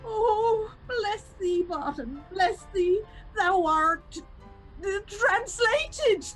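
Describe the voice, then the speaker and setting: A terrified rustic wails in comic Shakespearean radio drama. The voice is extremely high and swooping, drawn out and overwrought. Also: animated, extremely slow, a bit bright, soft background music, heavily acted, rough recording. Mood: horrified wailing. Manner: wailing, shrieking, overwrought. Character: panicky, terrified rustic shrieking at a monster.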